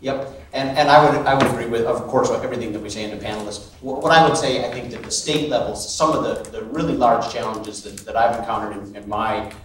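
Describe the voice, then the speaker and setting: A man on a conference panel talks in a halting way, often restarting his sentences. His voice is picked up from a distance in a very echoey hall, with faint background noise. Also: medium pitch, steady tone. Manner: halting, many restarts and fillers. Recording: echoey hall, mic from afar.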